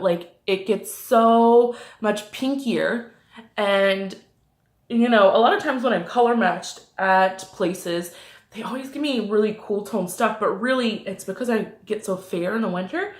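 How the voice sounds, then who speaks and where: A woman with a mid-range voice and a slight cold, a little snuffly, talking through a makeup review in a loose, rambling way full of ums and likes. She is recorded on a camera mic in a room at home, with a noticeable roomy echo. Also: medium pitch. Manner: rambling, many ums and likes, self-correcting. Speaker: beauty vlogger. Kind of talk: casual chatty makeup review.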